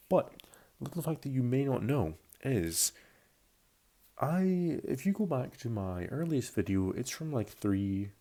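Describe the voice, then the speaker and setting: A man's voice: on the low side, mellow. A man in his early twenties giving casual commentary about himself, rambling and often restating or correcting his phrases. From Belgium, Scotland and France. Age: early 20s. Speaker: YouTuber.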